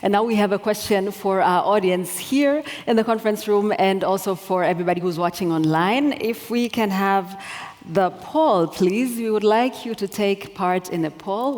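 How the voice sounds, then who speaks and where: A woman from Tanzania moderating a conference panel, asking brisk, clear questions in front of a live audience. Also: mid-range voice, a little mellow. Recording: long reverb of a conference hall.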